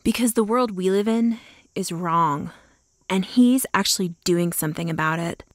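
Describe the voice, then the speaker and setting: Woman playing a low-pitched, defiant zealot. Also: normal speed, no room sound, clean studio sound, lightly acted. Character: defiant, zealous female follower of a villain. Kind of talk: audio drama acting.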